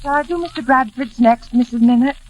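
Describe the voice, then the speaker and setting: An actress playing a shy, kindly young housemaid, earnest and gentle, in a 1940s radio drama. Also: female voice, sits high, brisk, natural voice. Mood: earnest, wistful. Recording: muffled, faintly noisy old radio recording.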